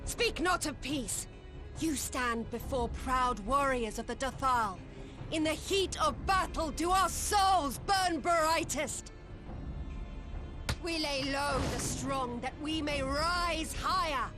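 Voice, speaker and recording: High-voiced, fierce warrior woman. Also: slow. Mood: proud, fiery. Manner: declamatory, archaic formal speech. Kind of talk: video game voice acting. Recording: game music behind the voice.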